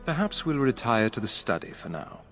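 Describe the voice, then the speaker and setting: A man's voice: mid-range voice, very animated, fairly quick, a bit bright. An actor playing a courteous, modest young nobleman in a fantasy game. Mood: polite, dry. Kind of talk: scripted game voice acting. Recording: music under the voice.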